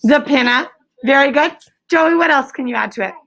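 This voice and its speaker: Her voice sits high for a woman and has a noticeable fry to it. She speaks as a schoolteacher running an interactive lesson, explaining simply and prompting her pupils with questions in an encouraging manner. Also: fairly quick, fairly bright tone, low-quality recording. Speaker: schoolteacher leading a class.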